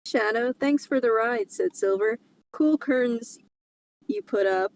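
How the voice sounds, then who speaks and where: A woman's voice: fairly high pitch, measured pace, background noise. A woman co-hosting a comedy podcast in casual, teasing, giggly banter. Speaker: podcast co-host.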